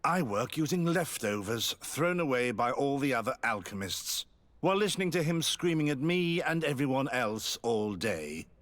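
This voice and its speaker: An actor playing a disgruntled, overworked alchemist in a video game, complaining in a sardonic way. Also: male voice, medium pitch, very animated, normal speed, fairly bright tone. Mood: bitter, aggrieved.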